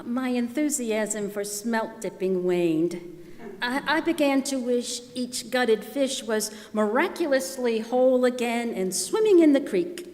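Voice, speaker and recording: A woman's voice: a little high, a little mellow. A woman reading a scripted first-person story aloud as part of a readers theater performance. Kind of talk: scripted first-person narration read aloud to a live gathering. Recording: long echoing hall reverb.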